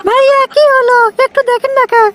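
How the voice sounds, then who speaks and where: Woman voicing a blunt young cartoon widow, squeaky-high voice, very rapid, impatient, Bogura accent. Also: level tone, heavy background noise. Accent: Bogura, northern Bangladesh. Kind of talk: comic cartoon dialogue.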